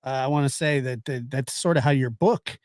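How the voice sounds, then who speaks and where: A man around forty hosting a casual live-stream chat, halting and circling back over his phrases. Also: mid-range voice, darkish tone, no room sound, clean studio sound. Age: late 30s to early 40s.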